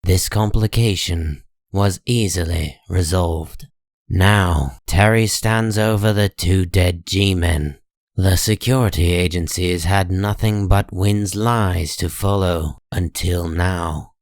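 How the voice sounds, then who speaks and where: Deep, slow male dramatic narrator. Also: fairly even intonation, no room sound, clean studio sound. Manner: measured, deliberate. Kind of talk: scripted dramatic comic narration.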